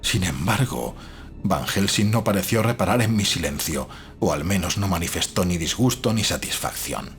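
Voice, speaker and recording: Man acting a troubled doubting narrator in gothic horror audiobook, low voice that moves around a lot, dramatic. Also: lively intonation, soft background music. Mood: uneasy, measured. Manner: literary, measured, dramatic delivery.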